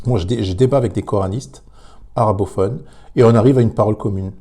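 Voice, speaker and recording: A man commenting on a religious debate, emphatic but halting, with many repeated words and fillers. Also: lowish voice, dark tone, husky. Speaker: commentator reacting to a clip.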